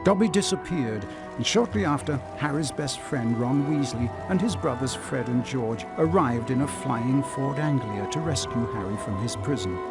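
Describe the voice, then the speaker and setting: A man's voice: mid-range voice, expressive, normal speed, loud music behind the voice. A man giving scripted, storybook-style narration.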